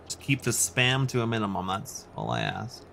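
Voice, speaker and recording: A man's voice: fairly low pitch, huge pitch swings, moderate pace, vocal fry, pushed and bright, slight background noise. A Canadian man chatting casually and in a rambling way as he hosts a video game livestream and responds to his chat. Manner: rambling, reacting to chat messages.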